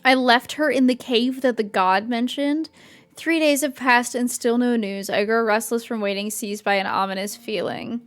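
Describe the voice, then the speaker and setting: A woman streaming a game, with a fairly high voice. She comments casually and reacts informally to the story as it unfolds. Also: normal speed.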